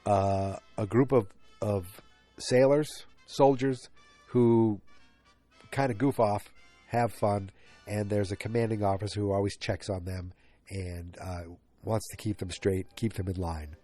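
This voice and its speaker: A stuffed-up man in his forties talks alone into his podcast mic, rambling and restarting his sentences. His voice sits on the low side and sounds mellow and dark. Faint music plays underneath. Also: fairly even intonation, a little slow. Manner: rambling, self-interrupting, many fillers and restarts. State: stuffed up, congested. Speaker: podcast host. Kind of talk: solo rambling podcast talk. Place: home, late at night.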